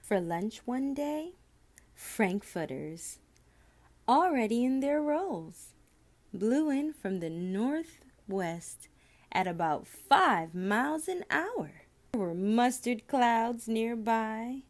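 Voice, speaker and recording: A woman reading a children's picture book aloud in an expressive, deliberate storytelling manner with dramatic pauses. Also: fairly high pitch. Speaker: storybook reader.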